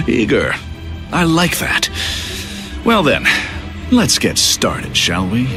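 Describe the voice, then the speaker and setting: An actor playing a polite, oily asylum doctor with a smooth, courteous manner in video game cutscenes. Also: male voice, medium pitch, wildly swooping pitch, drawn-out words, music in the background, heavily acted.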